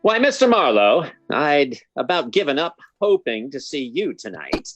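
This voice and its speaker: Man playing a high-pitched, blustering old rich father. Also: huge pitch swings, a bit bright, muffled recording. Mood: impatient. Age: older. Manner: halting, blustering, melodramatic. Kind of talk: old-time radio detective drama acting.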